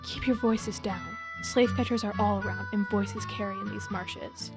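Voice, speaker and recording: A woman's voice: mid-range voice, fairly even intonation, normal speed, over loud music, lightly acted. A female student reader playing a brave, commanding woman who guides escaped slaves in a school radio drama. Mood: stern, commanding.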